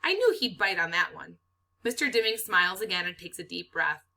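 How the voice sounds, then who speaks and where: A woman's voice: lowish voice, very animated, brisk, gravelly, sharp tone. A woman reading a children's novel aloud expressively, as a teacher does for students.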